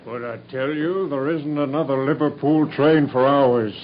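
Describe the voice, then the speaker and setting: A man's voice: mid-range voice, normal speed, a little hiss or hum, lightly acted. An actor playing an anxious male companion who talks in an insistent, uneasy way. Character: anxious American male hired companion, nervous. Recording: dull old radio broadcast.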